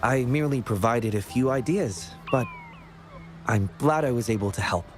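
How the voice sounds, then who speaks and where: A man playing a gentle, modest young scientist in a video game, speaking in a polite, measured, reassuring way. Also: medium pitch, over music, close to an everyday voice. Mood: polite, warm. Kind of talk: video game character acting.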